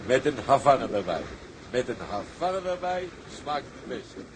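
Man as a good natured tug sailor, sea drama, middle pitch, slight noise. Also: sing-song, moderate pace, lightly acted. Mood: wistful, genial. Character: plain, good-natured male tugboat sailor. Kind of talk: Dutch period seafaring drama acting. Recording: faint background noise.

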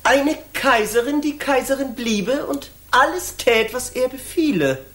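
An actress playing a mocking, mischievous court jester in a radio drama, talking in sing-song, sardonic rhymes. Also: female voice, high-pitched, animated, a little slow, fairly bright tone. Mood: gleeful, sardonic. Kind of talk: German children's radio drama acting.

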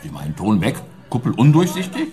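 An actor playing a huge, brutish thug in a radio drama, jeering and condescending, with a cocky manner. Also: male voice, on the low side, huge pitch swings, brisk, dark tone. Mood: smug, condescending. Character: huge, brutish, gloating male thug posing as art doctor.

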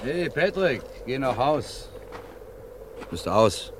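A man's voice: mid-range voice, sing-song, slow, noisy background. An actor playing an agitated village man in a German radio crime play. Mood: urgent. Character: agitated village man named Dick bringing word.

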